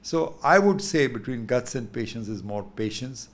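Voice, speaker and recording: A man in his sixties with an Indian English accent, talking thoughtfully as a guest in an unhurried interview. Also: mid-range voice, expressive. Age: 60s. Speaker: guest investor. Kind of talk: candid interview talk.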